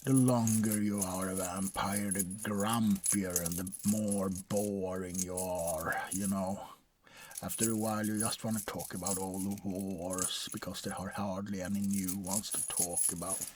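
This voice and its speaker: A man playing a bored, centuries-old vampire doctor in a soft-spoken ASMR roleplay, rambling hesitantly with weary, morbid dryness. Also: sits low, steady tone, measured pace, a little hiss or hum. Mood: bored, musing.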